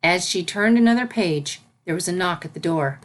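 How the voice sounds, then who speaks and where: A woman's voice: fairly low pitch, expressive, normal speed. A woman reading a fantasy story aloud as its narrator, in scripted narration. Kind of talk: scripted narration of fan fiction.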